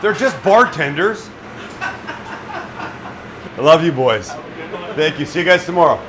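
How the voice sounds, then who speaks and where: A man's voice: on the high side, sing-song, takes it slowly, noisy background. A middle-aged man hosting a casual cooking demonstration in a small restaurant kitchen, joking bluntly and swearing freely. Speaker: chef and cooking show host.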